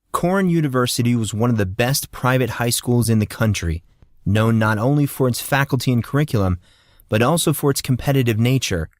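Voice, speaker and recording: A man's voice: medium pitch, dry recording, clean studio sound. A man narrating scripted fiction as an audiobook storyteller.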